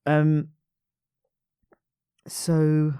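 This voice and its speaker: A man's voice: a little high, completely flat delivery, very slow, mellow, clean tone. A man hosting a podcast, chatting casually and hesitantly with many fillers and restarts. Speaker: podcast host. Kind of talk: casual interview chat.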